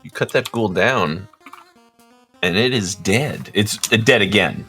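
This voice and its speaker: A man's voice: lowish voice, unhurried, pushed and bright, faint music behind. A man running a tabletop game, narrating casually to the players as game master.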